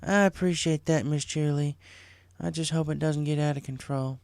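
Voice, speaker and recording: Man voicing a polite schoolteacher mare, raised pitch. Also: fairly even intonation, moderate pace, vocal fry, a little mellow, clean tone, dry recording, clean studio sound. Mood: worried. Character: polite, apologetic adult mare schoolteacher pony.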